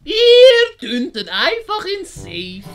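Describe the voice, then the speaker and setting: A woman's voice: extremely high pitch, wildly swooping pitch, slow, faint music behind, pitched above the performer's own voice, exaggerated voice. A woman voicing a doting, bossy, miserly master in an audio story, with a Swiss German accent and a cooing, commanding manner.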